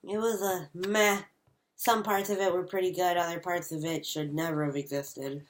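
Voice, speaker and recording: A man's voice: high-pitched, fairly even intonation, normal speed. A young man co-hosting a movie review, chatting casually in an agreeing, wry way. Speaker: co-host of a movie review show. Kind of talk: casual chat.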